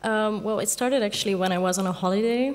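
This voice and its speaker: A woman in her thirties, a journalist whose first language is Dutch, answering thoughtfully with many pauses on a stage panel. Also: mid-range voice. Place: theater stage with audience. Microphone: small headset mic.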